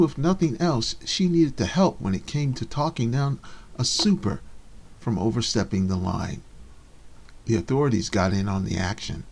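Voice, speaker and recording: A man's voice: mid-range voice, husky. Man reading his own short story aloud. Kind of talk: scripted narration of a story.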